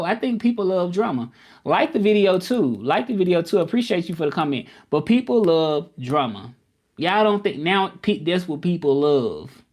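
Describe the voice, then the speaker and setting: A man hosting a casual live stream, chatting loosely with viewers and often repeating himself. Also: a little high. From Memphis, United States. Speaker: livestream host.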